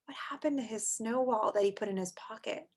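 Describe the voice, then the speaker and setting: A woman's voice: a little high, fairly quick, a little mellow. A woman reading aloud to young children like a teacher, drawing out the story words expressively and adding chatty asides and questions.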